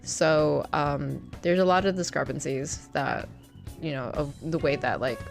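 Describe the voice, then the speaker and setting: A woman's voice: deep voice, measured pace, gravelly, music in the background. A young Canadian woman streaming a game, chatting casually with lots of fillers, restarts and laughter. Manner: rambling, many fillers and restarts, laughs often. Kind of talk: casual chat while gaming.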